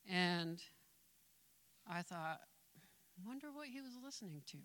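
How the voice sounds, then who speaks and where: A woman giving a tender testimony in church, soft-spoken with small laughs. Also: on the low side, lively intonation, takes it slowly. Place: church sanctuary.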